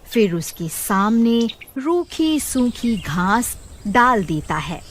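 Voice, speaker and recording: A woman's voice: on the high side, very animated, takes it slowly, a little hiss or hum. A woman narrating a moral story from a script in a dramatic storytelling style. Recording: loud cattle and cricket sounds behind.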